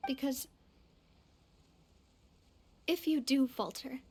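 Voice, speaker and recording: An actress playing a gracious, composed young woman in a video game, speaking in a measured, articulate, gentle way. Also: high-pitched, slight background noise. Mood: earnest, reflective. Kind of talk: video game voice acting, reflective storytelling.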